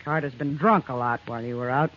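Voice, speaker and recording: A woman's voice: extremely low pitch, fast talker, a little hiss or hum. A woman playing a shrewd, worldly frontier saloon keeper in a 1950s radio western, dry and frank. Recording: muffled old radio recording.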